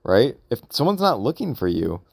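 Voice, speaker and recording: A man's voice: mid-range voice, very animated, vocal fry, a little mellow, dry recording. A man thinking aloud in a casual, unscripted vlog monologue, hesitant and rambling as he works through his thoughts. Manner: hesitant, halting, rambling with repeated questions. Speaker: vlogger recording an audio log.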